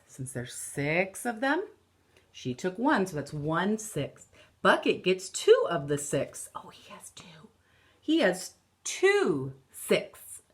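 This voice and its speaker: A woman in her thirties reads a picture book aloud like an elementary teacher. She goes very slowly, with a fairly low voice that moves up and down for emphasis. Her delivery is deliberate and instructive, recorded at home on a device about an arm's length away. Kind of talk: children's picture book read-aloud with explanations. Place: room at home.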